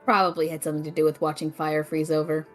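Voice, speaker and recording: A woman's voice: deep voice, flat delivery, fast, faint music behind, natural voice. A woman playing a skeptical, protective young adventurer in an audio drama, blunt and wry. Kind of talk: scripted audio drama acting.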